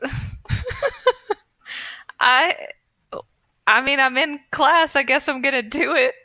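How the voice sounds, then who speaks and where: A woman's voice: high-pitched, fairly even intonation, slow, sharp tone, dry recording. A woman chatting casually and reactively as a player in a tabletop game. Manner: informal, reactive. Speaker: player and podcast co-host.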